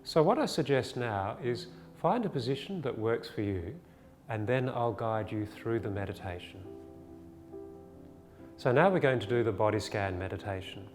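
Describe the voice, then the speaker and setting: A man teaching a guided body scan meditation, giving gentle, soothing, unhurried instructions with pauses. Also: mid-range voice, animated. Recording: long reverb, faint music behind.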